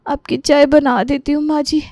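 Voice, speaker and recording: An actress playing a sly young daughter-in-law who feigns illness, in an animated moral story. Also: female voice, high voice, normal speed, dry recording, clean studio sound. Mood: meek, ailing.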